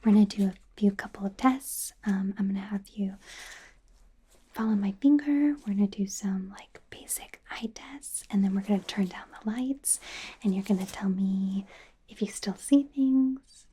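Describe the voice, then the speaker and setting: A woman playing a friendly, flustered young werewolf doctor in training in an ASMR roleplay, speaking in a soothing, hesitant, breathy whisper. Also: medium pitch, moderate pace, mellow, slight background noise, close to an everyday voice. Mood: soft, attentive. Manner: soothing, hesitant, rambling, self-correcting.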